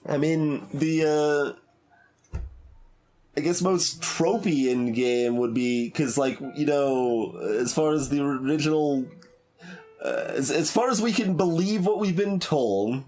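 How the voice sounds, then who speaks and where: A man giving casual, rambling commentary as he plays a game, halting and thinking aloud as he speculates, with game music behind him. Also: fairly high pitch, darkish tone. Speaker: streamer doing a blind voiced playthrough. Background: video game music.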